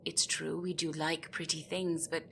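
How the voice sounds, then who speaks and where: A woman's voice: low voice, level tone, unhurried, vocal fry, fairly bright tone, a little hiss or hum, exaggerated voice. A woman playing an anxious, desperate female gryphon in an audio roleplay, speaking in a hesitant, deferential, pleading way. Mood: hesitant, wistful.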